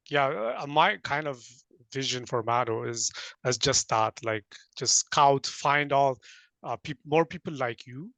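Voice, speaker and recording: A man from Ethiopia hosting a casual interview, hesitant with frequent restarts, then bursts of animated laughter. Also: mid-range voice, dry recording. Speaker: interview host, talent company founder.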